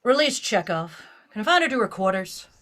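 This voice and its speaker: A woman playing a guarded, commanding starship captain in a science fiction audio drama, with a terse, deliberate manner. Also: medium pitch, sing-song, a bit bright.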